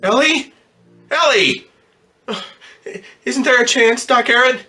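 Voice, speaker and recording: A man's voice: very high voice, slow pace, pushed and bright, exaggerated voice. A man playing an embittered, distraught ambulance driver in slangy, stammering old-time radio drama style. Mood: anguished, accusing. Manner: slangy working-class talk, stammering when upset.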